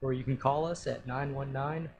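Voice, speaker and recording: A man's voice: medium pitch, steady tone, measured pace, noisy background. A man from an election office giving brief, matter-of-fact closing remarks as a radio guest.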